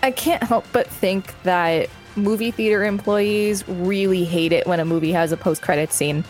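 A woman's voice: mid-range voice, moderate pace, faint music behind. A woman co-hosting a podcast, reading an article aloud and adding casual commentary. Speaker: podcast co-host.

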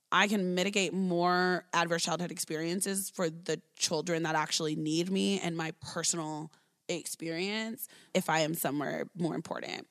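Casting note: earnest woman in her thirties, lower voice, educator sharing her life story. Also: fairly bright tone. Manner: earnest, talky, full of "like" and "right?". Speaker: early childhood educator. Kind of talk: personal storytelling in an interview.

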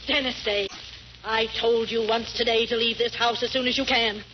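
A woman's voice: on the high side, fairly even intonation, moderate pace, close to an everyday voice. A woman playing a vain, bossy, middle-aged mother, indignant, in 1940s radio comedy style. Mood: outraged, bossy. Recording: muffled, noisy old radio broadcast.

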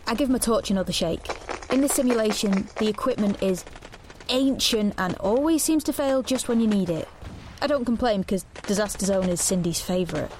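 A woman's voice: mid-range voice, animated, moderate pace, background noise, close to an everyday voice. Anxious young woman narrating her dystopian life, acted by a woman. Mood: wry, confiding. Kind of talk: audio drama acting and first-person narration.

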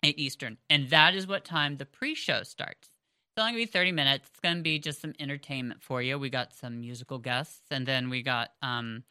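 A woman's voice: a bass voice, a little fast, bright, forceful tone, clear, resonant tone, no echo, clean studio sound. A woman, co-hosting a podcast in a chatty, rambling way with fillers and restarts. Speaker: podcast co-host. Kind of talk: casual podcast chat. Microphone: headphones on, close mic.

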